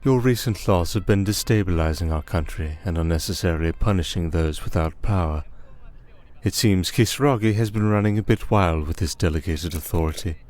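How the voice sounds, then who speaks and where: A man playing a commanding vampire archduke in a fantasy roleplay, measured, dry and authoritative in manner. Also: sits low, a little mellow, natural voice. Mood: stern, dry. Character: commanding, dryly exasperated male vampire archduke. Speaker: lead voice actor.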